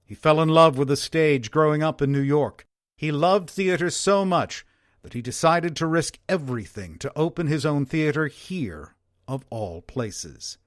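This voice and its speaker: A man playing an earnest, wistful former theater owner from early-1900s Wisconsin, reading a letter in a formal, measured way. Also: on the high side, animated, no room sound, studio quality. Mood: proud, nostalgic.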